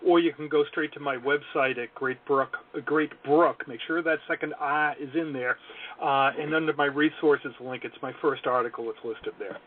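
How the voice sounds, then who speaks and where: A man's voice: a little high, steady tone, normal speed. A male consulting guest speaking earnestly and analytically in an interview, with wry self-deprecating asides. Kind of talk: podcast interview talk. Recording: tinny phone line.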